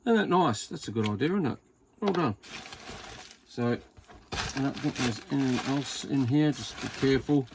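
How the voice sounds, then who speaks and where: Casting: Australian male hobbyist, creaky mid-pitched voice, informal off-the-cuff unboxing chat over faint background noise. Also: lively intonation, normal speed, pauses often. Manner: rambling, informal, thinking aloud with mild swearing. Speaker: hobbyist radio reviewer. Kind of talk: casual unboxing and test commentary.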